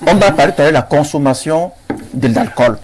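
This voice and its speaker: A man's voice: on the high side, animated, a little fast, darkish tone, slight background noise. A man with a Cameroonian accent arguing insistently as a panelist in a heated political debate, repeating phrases for emphasis.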